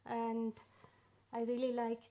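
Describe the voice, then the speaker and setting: A middle-aged woman with a Swiss accent, English not her first language. She talks slowly, lingering on pauses and fillers as she shares her story. Her voice sits a little high. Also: completely flat delivery, highs cut off. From Switzerland. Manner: unhurried, warm storytelling with frequent pauses and fillers. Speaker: artist guest. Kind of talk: casual interview.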